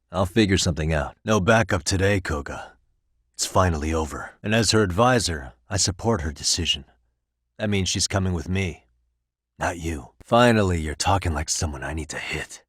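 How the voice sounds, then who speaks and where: A man's voice: sits low, animated, normal speed, a bit bright, husky, no echo, clean studio sound, full character voice. A man with an American accent voicing a cool, determined private detective in a video game, speaking in a casual, wry, conversational way. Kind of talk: video game voice acting, naturalistic dialogue.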